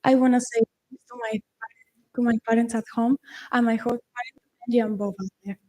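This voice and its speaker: A young woman from Spain, an exchange student giving a prepared speech and now and then halting to find her words. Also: fairly high pitch, fairly even intonation, dark tone, poor audio. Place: school awards ceremony.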